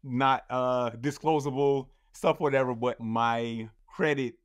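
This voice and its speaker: A man's voice: mid-range voice, fairly even intonation, slow pace, smooth, no room sound. A man in his thirties, a guest in a casual interview, telling his story in a loose, rambling way full of fillers. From United States. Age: 30s.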